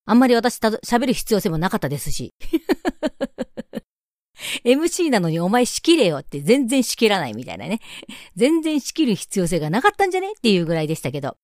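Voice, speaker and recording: A woman's voice: on the high side, big pitch swings, a little fast, no room sound, studio quality. A woman hosting a casual radio chat, mixing polite speech with playful teasing. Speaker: radio show host.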